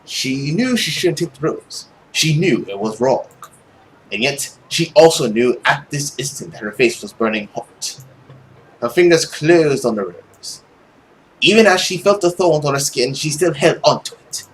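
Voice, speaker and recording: A young adult man narrating a visual novel aloud as a let's play host, in an expressive, dramatic way. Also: on the high side, measured pace, soft background music, low-quality recording. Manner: animated, dramatic reading. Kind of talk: expressive story narration with casual commentary.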